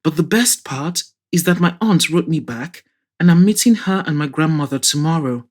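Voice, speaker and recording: A woman's voice: low voice, animated, normal speed, a little mellow. A woman reading the part of an anxious, hopeful, chatty young woman in an audio drama. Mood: excited.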